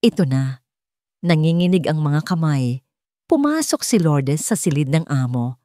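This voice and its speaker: A woman's voice: sits low, animated, normal speed, warm tone, no echo, studio quality. A woman narrator reading a scripted, dramatic love story.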